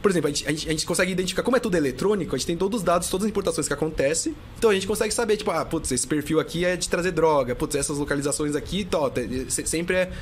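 Guy in his 30s, talks super fast, kinda high voice, faint hiss behind. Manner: fast, animated talk full of restarts and fillers. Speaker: guest, customs tax auditor. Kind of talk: casual podcast interview.

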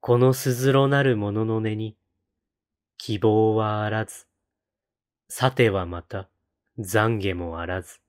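A man reciting poetry in a solemn style, with measured, deliberate phrasing and pauses between lines. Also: fairly low pitch, fairly even intonation. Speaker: poetry reader on a read-aloud channel.

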